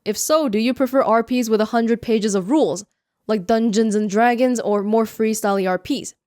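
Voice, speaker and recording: Young canadian woman rambling through a q&a, self-mocking, smooth voice right on the mic. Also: on the high side, darkish tone, no echo, clean studio sound. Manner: chatty, self-mocking, quick asides. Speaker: YouTuber. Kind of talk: casual Q&A vlog. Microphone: close studio mic.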